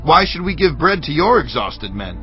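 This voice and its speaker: Stern, declamatory Israelite war leader. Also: male voice, fairly high pitch, very animated, moderate pace. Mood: threatening. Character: Gideon, battle-weary Israelite war leader, stern and firm. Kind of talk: dramatized scripture acting. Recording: dull audio with music underneath. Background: music.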